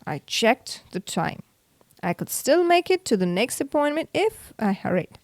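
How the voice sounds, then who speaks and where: A woman reading a children's story aloud in an expressive, theatrical way. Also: fairly high pitch, a little slow, no echo. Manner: theatrical, acts out the exclamations. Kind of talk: expressive read-aloud narration for kids.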